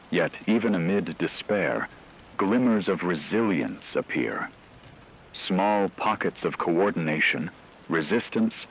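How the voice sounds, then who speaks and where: A man's voice: sits low, slight background noise, low-quality recording. A man playing a grave radio anchor who reads scripted war news in a solemn, portentous and formal way. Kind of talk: scripted dramatic news narration.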